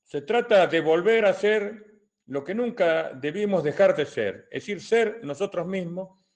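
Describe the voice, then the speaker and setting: An older man with an Argentine accent answering questions as a political commentator, in a deliberate, didactic way with emphatic repetition. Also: high-pitched, lively intonation, darkish tone. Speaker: retired colonel and political commentator.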